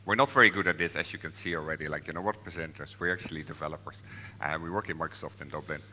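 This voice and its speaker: A man's voice: rumbling low, rapid-fire, pushed and bright, long reverb tail, a little hiss or hum. A man from the Netherlands, giving a hesitant conference tech presentation full of fillers and restarts. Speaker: software developer presenting. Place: large conference hall.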